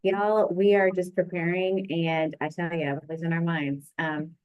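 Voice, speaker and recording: A woman's voice: lowish voice, little pitch movement, unhurried, dry recording, highs cut off. A woman from employer relations introducing herself, flustered and full of fillers.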